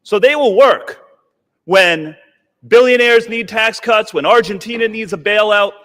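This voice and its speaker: A man's voice: high voice, unhurried, a bit bright. A man, a congressman, giving a sarcastic, indignant floor speech in a legislative chamber. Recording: long reverb of a large chamber.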